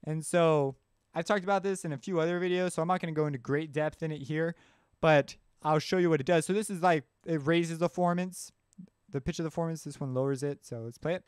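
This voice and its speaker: A man presenting a software tutorial in an informal, offhand way, thinking aloud as he works. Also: a little high, fairly even intonation, fairly quick, clean tone, no room sound, studio quality. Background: synth music and instrument sounds.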